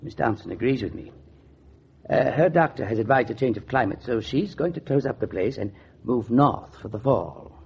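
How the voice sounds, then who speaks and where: A man's voice: mid-range voice, lively intonation, normal speed. An actor playing a prim, smug male secretary in a 1940s radio drama, with a twittering, condescending manner. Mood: smooth-talking. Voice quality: twittering, husky. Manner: prissy, condescending. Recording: dull vintage 1940s radio recording.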